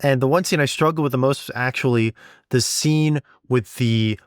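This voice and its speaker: A man's voice: mid-range voice, normal speed, clean tone, no room sound, clean studio sound. A man co-hosting a casual behind-the-scenes podcast, talking informally and repeating words as he thinks aloud. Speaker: podcast co-host and sound designer.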